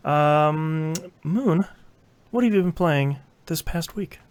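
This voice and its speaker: Lively male podcast host. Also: a little high, slow. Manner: jokey, often laughing. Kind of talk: casual podcast banter.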